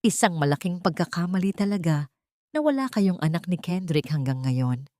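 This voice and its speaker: A woman's voice: fairly low pitch, expressive, normal speed, darkish tone, no echo, lightly acted. A cruel, haughty elderly mother-in-law from an audio love drama, acted by a woman narrator. She is cutting and disdainful, with a Filipino accent, in a dry recording. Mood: scornful.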